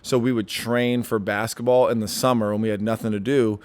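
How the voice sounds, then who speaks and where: A man's voice: lowish voice, flat delivery, brisk, a little mellow, clean tone. A young man, a stand-up comedian, chatting casually as a podcast guest, rambling with many fillers and restarts. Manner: rambling, lots of likes and restarts.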